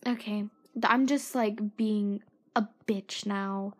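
A woman's voice: mid-range voice, fairly even intonation, takes it slowly. A teenage girl hosting her own podcast, rambling and self-mocking, with a sore throat and a voice that drops into whispered asides. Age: teens. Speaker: podcast host. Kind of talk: rambling solo podcast chat.

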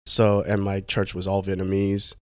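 A young man from the Pacific Northwest of the United States, a guest talking in a casual interview, halting, with many restarts and fillers. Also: sits low, monotone, clear, resonant tone, no echo, clean studio sound.

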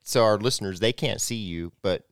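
Young male host, 20s, lowish smooth voice, dry close mic, loose and chatty. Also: moderate pace, no echo. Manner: rambling, restarts, friendly. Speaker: podcast host. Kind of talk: casual podcast hosting.

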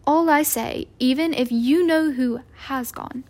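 Woman doing a strict snappy witch teacher, high and loud, impatient. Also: unhurried. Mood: irritable. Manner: clipped, sharp, impatient.

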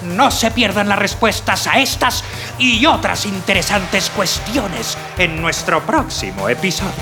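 A man announcing a radio serial's outro with dramatic, measured delivery. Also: high voice, animated, over music.

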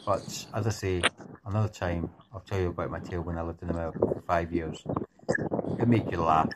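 Man, Scottish-Irish accent, very deep voice, friendly caller, noisy background. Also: steady tone, brisk. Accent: Scottish-Irish blend. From Scotland. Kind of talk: casual chat.

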